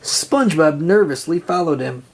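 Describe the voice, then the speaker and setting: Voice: mid-range voice, lively intonation, moderate pace. A storyteller reading a children's picture book aloud in narration style. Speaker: storybook reader.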